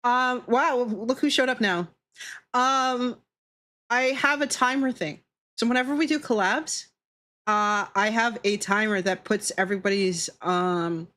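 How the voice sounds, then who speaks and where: A woman with a fairly high voice streams casually, talking straight to her viewers. She is playful and teasing in how she speaks, with a giggly edge and plenty of swearing. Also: a little slow, fairly bright tone. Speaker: streamer, VTuber. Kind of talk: casual livestream chat with viewers while drawing.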